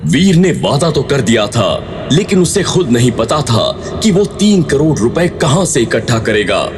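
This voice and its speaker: A man narrates a dramatic scripted story. His medium-pitched voice moves with lively intonation, and music plays clearly behind him. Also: a little slow.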